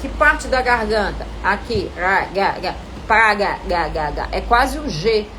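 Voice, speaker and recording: A woman with a Brazilian Portuguese accent coaching actors in an informal live lesson, animated and didactic, often asking questions and answering them herself. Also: mid-range voice, slow, background noise. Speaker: acting coach teaching a live online class.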